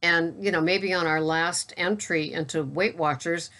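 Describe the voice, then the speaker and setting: This is a woman in her sixties with a notably low voice, chatting to her viewers in an unhurried, even way. She calls her own delivery a monotone, but she keeps it plain and matter-of-fact, with the odd wry aside. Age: 60s. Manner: steady, matter-of-fact, self-described monotone. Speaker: keto vlogger and coach. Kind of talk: casual talk to camera.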